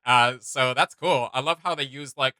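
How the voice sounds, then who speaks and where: A man's voice: medium pitch, normal speed, pushed and bright, smooth, dry recording, studio quality. A man hosting a casual reaction commentary, talking in an enthusiastic, rambling way. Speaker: reaction video host.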